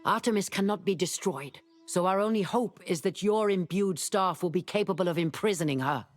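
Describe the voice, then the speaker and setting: A woman's voice: on the low side, brisk, no room sound, faint music behind, close to an everyday voice. A woman playing a wry, commanding sorceress who mentors a young hero in a fantasy video game, speaking formally and with urgency. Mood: grave, resolute. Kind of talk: video game character dialogue.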